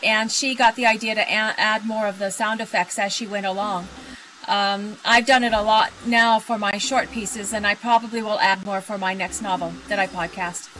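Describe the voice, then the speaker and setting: A woman on a podcasters' panel, talking casually as she explains. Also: fairly high pitch. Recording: clear background noise, room ambience.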